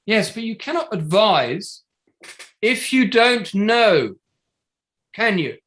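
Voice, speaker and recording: An actor playing a probing, sardonic barrister at a public inquiry in a play reading, formal and pointed, pressing with rhetorical questions. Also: male voice, high-pitched, very animated, takes it slowly, fairly bright tone, heavily acted. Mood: sceptical, pressing. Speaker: actor in an online table read. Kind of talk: courtroom-style cross-examination in a play reading.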